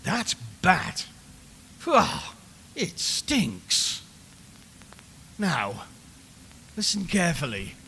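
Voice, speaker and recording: A man's voice: sits high, wildly swooping pitch, extremely slow, creaky, long pauses, sharp tone, heavily acted. A man playing a nervous, wryly chatty radio reporter giving a live commentary from a haunted house in an audio drama. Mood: rattled, wry. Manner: chatty, wry, increasingly uneasy. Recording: long reverberant hall, background noise.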